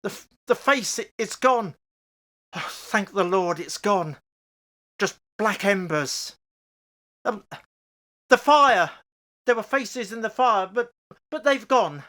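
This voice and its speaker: A man playing an anxious, excitable older father in an amateur radio horror play, with a theatrical, halting delivery. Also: high voice, full character voice. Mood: shaken.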